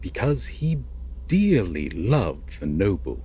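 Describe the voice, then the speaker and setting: Obsessive lovesick prince played by a male actor, low breathy voice, very slow halting bedtime-story delivery for audio roleplay. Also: very animated, a little hiss or hum, heavily acted. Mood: wistful, tender. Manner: halting, hushed, wistful, with heavy pauses. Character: obsessive lovesick yandere prince, a captor telling a bedtime fairytale.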